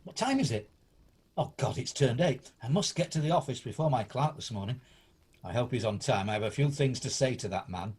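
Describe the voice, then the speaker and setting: A man playing old Ebenezer Scrooge, a gruff miser turned benefactor, in an amateur radio play, with a husky, deliberate, theatrical delivery. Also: mid-range voice, animated, a little mellow. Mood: muttering, grumbling.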